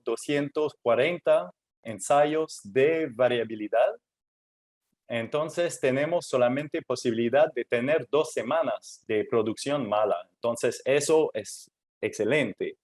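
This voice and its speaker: A man presenting at a technical workshop in Spanish, which is his third language, speaking haltingly with many fillers and restarts. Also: mid-range voice, a little mellow. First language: not Spanish; Spanish is his third language.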